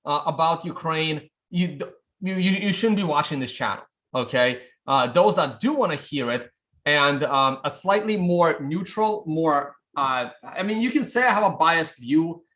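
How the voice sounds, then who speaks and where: Man, somewhat high-pitched, livestream host, unscripted commentary, halting, rambling, frequent tag questions. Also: fairly bright tone. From Soviet Union, now Russia; immigrated to America. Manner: halting, rambling, often punctuated with "okay?" and "right?".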